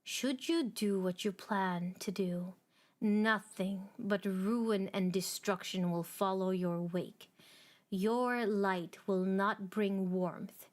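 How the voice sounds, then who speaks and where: A woman voicing the Star Forger, a stern cosmic maker who speaks in a measured, cold, unhurried way. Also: medium pitch, close to an everyday voice. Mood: warning.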